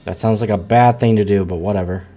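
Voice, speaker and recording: Male streamer: dark, relaxed voice, casual halting commentary, faint game music behind. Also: fairly low pitch.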